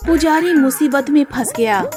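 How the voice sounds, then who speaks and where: A woman reading scripted narration for an animated story. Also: high voice, moderate pace, music in the background.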